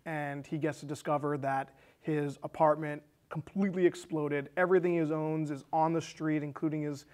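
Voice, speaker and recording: American man, slightly high, halting conversational delivery. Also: steady tone, darkish tone. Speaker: film discussion show co-host. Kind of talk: casual conversational film recap. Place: small indoor studio set with curtain.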